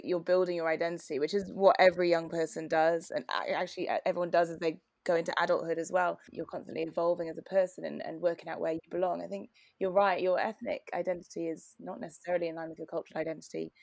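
A woman's voice: fairly low pitch, flat delivery, quick pace, creaky, few pauses, darkish tone, no echo. A young woman from Britain, a guest in a casual chat, talking reflectively and often restarting her sentences.

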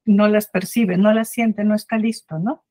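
A woman's voice: medium pitch, fairly even intonation, normal speed, warm tone, no room sound, clean studio sound. A woman hosting a conversational interview, chatty, often closing her points with a questioning tag. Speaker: host.

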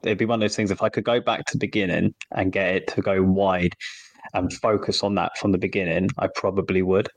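A man's voice: sits low, fairly even intonation, brisk, dry recording. A man co-hosting a podcast, chatting casually and affirming his guest. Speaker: podcast co-host. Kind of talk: podcast interview.

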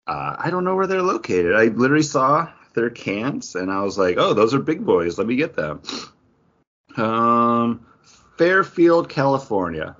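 Husky, expressive man in casual podcast chat. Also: medium pitch, unhurried. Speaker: podcast co-host. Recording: dull lo-fi recording.

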